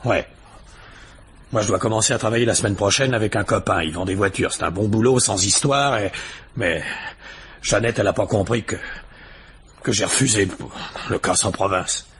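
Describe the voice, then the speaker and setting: A man's voice: lowish voice, moderate pace, a bit bright, slight background noise, close to an everyday voice. A man playing a nervous, reluctant ex-convict in a radio drama, hesitant, stammering and slangy. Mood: confiding, uneasy. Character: nervous, reluctant small-time male ex-convict under questioning. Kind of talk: 1950s French radio drama acting.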